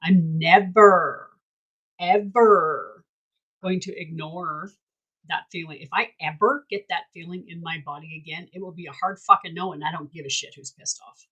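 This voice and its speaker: Blunt, husky-voiced woman host. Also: fairly low pitch, normal speed, pushed and bright, lo-fi sound. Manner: emphatic and blunt, swears freely. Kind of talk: candid casual chat.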